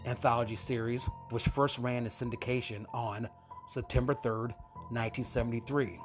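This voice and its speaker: A man introduces a radio program in a casual hosting style. His pitch sits in the middle range, and music plays clearly behind him. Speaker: host of an old radio horror show.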